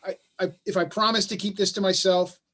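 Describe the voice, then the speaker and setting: A man acting a gentle, self-deprecating father who speaks tentatively, in a staged play reading on a video call. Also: high-pitched, level tone, fairly quick. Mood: hesitant. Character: mild, hesitant, self-deprecating father of a clever son.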